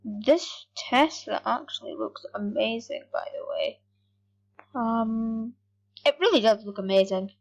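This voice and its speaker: A woman giving rambling, excitable commentary over her gameplay, talking straight to her viewers. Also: fairly high pitch, takes it slowly, dull audio. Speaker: gaming YouTuber.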